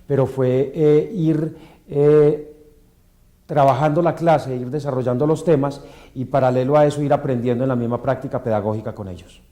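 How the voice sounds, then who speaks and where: A male teacher answering an interview in a measured way with small hesitations. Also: mid-range voice, fairly even intonation, dark tone.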